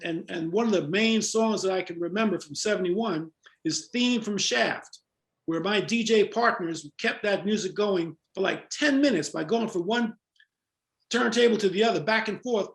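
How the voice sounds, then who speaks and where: Older American man, high creaky voice. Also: moderate pace, reverberant. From Jamaica-born, raised in New York. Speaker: interview guest, former club promoter. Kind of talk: conversational storytelling interview.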